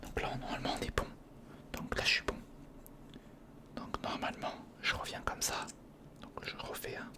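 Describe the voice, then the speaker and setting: A man whispering in a breathy, unvoiced ASMR style, giving informal and slightly hesitant build-along commentary close to the microphone. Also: normal speed, long pauses. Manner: hesitant, informal, muttering to the listener. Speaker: ASMR video host. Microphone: close recorder microphone.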